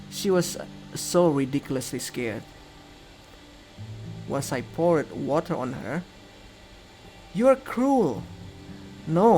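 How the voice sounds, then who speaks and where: A man's voice: fairly high pitch, a little mellow, poor audio. A man reading the scripted narration and dialogue of a visual novel aloud. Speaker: video game player reading aloud.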